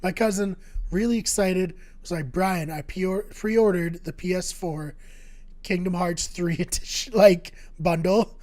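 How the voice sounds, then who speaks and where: A man co-hosting a casual video game podcast, his voice going hoarse, talking in an upbeat, jokey way with rambling anecdotes. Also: high voice, a little slow, darkish tone. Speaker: podcast co-host. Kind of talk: casual chat.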